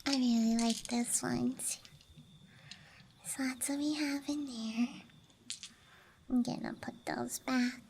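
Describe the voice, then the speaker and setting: Hushed, whispering high-voiced ASMR woman. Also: fairly even intonation. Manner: hushed, unhurried murmuring with trailing phrases. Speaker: ASMR host. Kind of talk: whispered ASMR show-and-tell. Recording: clear background noise, night ambience. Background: night campground ambience and clicking of handled objects.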